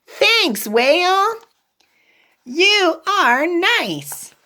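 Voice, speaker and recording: A woman voicing an excitable, cheerful little pig in a storybook read-aloud, with a bouncy singsong manner. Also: extremely high pitch, drawn-out words, voice pushed up, exaggerated voice. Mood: cheery.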